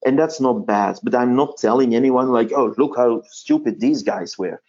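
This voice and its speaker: A man's voice: medium pitch, normal speed, a little mellow, muffled recording. A Belgian man with a Flemish accent, a native Dutch speaker who hosts a history podcast, chatting casually in an interview and often restarting his sentences with fillers. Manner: hesitant, many restarts and fillers.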